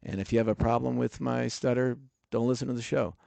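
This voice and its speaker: A man's voice: mid-range voice, flat delivery, fairly quick, creaky, mellow, no echo. A man hosting a music podcast, talking casually with a noticeable stutter. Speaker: podcast host.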